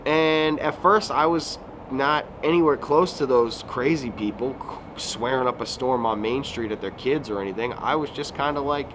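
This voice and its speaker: A man's voice: mid-range voice, moderate pace, few pauses. A man in his thirties talking casually in a rambling vlog, full of fillers and self-corrections, recorded outdoors at a resort recreation area. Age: 30s. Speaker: YouTuber. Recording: noisy outdoor recording with passing vehicles.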